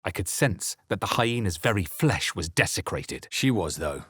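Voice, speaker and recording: A male actor playing a grave, brooding adventurer in a fantasy video game, with husky, measured, dramatic delivery. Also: sits low, very animated, a bit bright, dry recording, studio quality, heavily acted. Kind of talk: scripted fantasy game narration, character lines and spell incantations.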